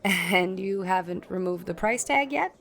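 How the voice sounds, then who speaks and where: Fussy, exasperated saleswoman, very animated. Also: mid-range voice, measured pace, vocal fry. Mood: stern impatience. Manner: indignant, clipped, scolding. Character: exasperated, stern, fussy department store saleswoman. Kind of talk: 1940s radio sitcom acting.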